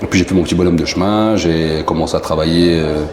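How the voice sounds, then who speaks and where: A man's voice: sits low, steady tone, fast, a little mellow. A man from France answering interview questions in a chatty, joking, self-mocking way, with many fillers. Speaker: founder of a poker company, interview guest. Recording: roomy room with faint noise.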